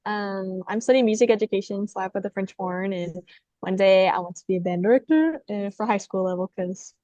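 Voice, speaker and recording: A woman's voice: medium pitch, fairly quick, no room sound. A woman in her twenties from Arkansas with a light Southern accent, a music education student introducing herself on a video call with easy laughter. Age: 20s. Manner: warm, laughing, easygoing.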